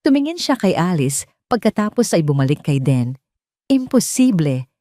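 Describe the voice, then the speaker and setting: A Filipino woman narrates a dramatic audio story from a script. Her voice sits a little low, and the recording is very dry, with no room around it. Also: big pitch swings, a little fast, mellow, no echo.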